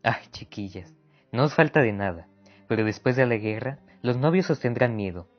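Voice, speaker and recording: A man plays a paternal male army commander in a radio drama, teasing his young women soldiers at a middling pitch. The recording is dull and lo-fi, with music underneath. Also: lively intonation, moderate pace, lightly acted. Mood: amused, teasing. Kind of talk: radio play acting. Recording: dull lo-fi sound over music.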